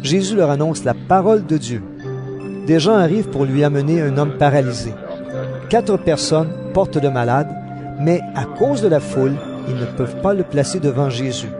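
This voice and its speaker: Higher-voiced man narrating over music. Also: animated, normal speed. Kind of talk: scripted audio Bible narration.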